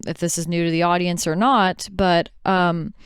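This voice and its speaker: A woman's voice: lowish voice, steady tone, moderate pace, clear, resonant tone, dry recording, clean studio sound. A woman podcast host talking casually and conversationally, explaining things to her co-hosts and listeners.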